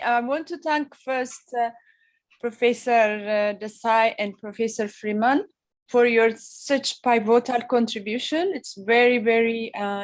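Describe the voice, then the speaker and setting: Ethiopian-born woman, fairly high voice, earnest, hesitant questioner on a video call. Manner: halting, many fillers, earnest. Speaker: audience member asking a question. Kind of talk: panel discussion question.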